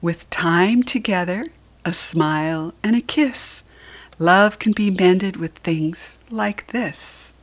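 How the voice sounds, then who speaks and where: A woman voicing a tender, patient parent fox in a storybook, gently reassuring a child in a measured way. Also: on the low side.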